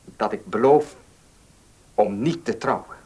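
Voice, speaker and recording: An actor playing a headstrong, impatient young heir in a radio drama, speaking emphatically and stubbornly. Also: male voice, fairly low pitch, slow, darkish tone, breathy edge. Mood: frustrated, indignant. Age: young adult. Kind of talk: Dutch radio drama acting.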